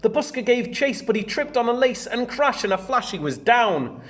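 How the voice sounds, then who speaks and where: A man reads a picture book for young children. His pitch swings widely as he moves through the rhymes in a sing-song teacher's way, breaking off for chatty asides. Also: moderate pace. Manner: animated, sing-song rhyming read-aloud. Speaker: primary school teacher reading a storybook.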